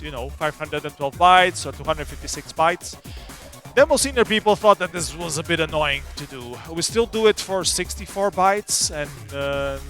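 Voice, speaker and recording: A man's voice: on the high side, fairly bright tone. A man presenting a casual technical seminar in a loose, filler-heavy, informal way. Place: seminar room at a demo party.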